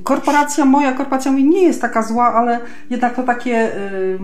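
A woman's voice: on the high side, fairly quick. A woman co-hosting a podcast in casual chat, jokey and self-mocking, often breaking off to rephrase herself. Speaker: podcast co-host. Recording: roomy, echoey sound.

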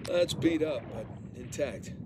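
A man's voice: on the high side, lively intonation, moderate pace, very noisy, lightly acted. An actor playing a determined, easygoing male treasure hunter on a salvage dive, talking casually and muttering to himself in a video game. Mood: focused. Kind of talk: naturalistic video game voice acting. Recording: game audio with loud underwater ambience.

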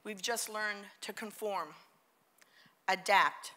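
A middle-aged woman with a New York accent giving a lively motivational talk on a theater stage, drawing the audience into call-and-response. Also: medium pitch, slow. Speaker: keynote speaker.

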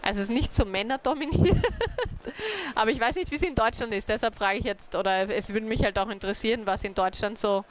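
A woman's voice: a little high, fairly quick, a little hiss or hum. A young woman, a native speaker, giving an informal talk to a small group about community networks, hesitant with many fillers. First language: German. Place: dark event room, on stage.

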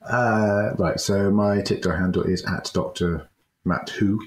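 A man's voice: lowish voice, fairly even intonation, unhurried, reverberant. A man, an amateur audio drama actor, chatting casually in a jokey, theatrical way. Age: adult, a parent. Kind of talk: casual chat.

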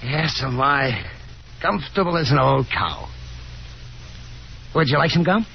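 An actor playing an affable young American gentleman caller, easygoing and polite with chuckly hesitance, in a 1950s radio drama. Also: a little high, very animated, unhurried, creaky, heavily acted. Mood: friendly, coaxing. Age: young adult. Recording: muffled, noisy 1950s radio recording.

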